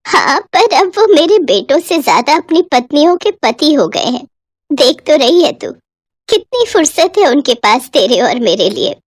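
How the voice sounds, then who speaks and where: An aging widowed mother, acted by a woman storyteller, affectionate and plaintive. She speaks high, with motherly, gentle chiding, in a dry recording. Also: very animated, moderate pace, no echo. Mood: wistful.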